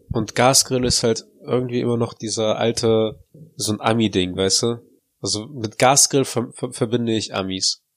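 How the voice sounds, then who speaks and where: A man co-hosting a podcast, talking with a stuffy nose that makes him sound nasal, rambling and joking in casual banter. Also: mid-range voice. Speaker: podcast co-host.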